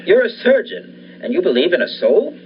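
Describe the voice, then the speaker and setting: A man's voice: sits high, huge pitch swings, normal speed, full character voice. A young man playing an obsessed, commanding scientist and surgeon in the style of a 1940s radio thriller. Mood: insistent, clinical. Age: young adult. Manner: commanding, insistent. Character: obsessed scientist surgeon bent on reviving his dead wife. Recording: dull lo-fi audio, faint noise.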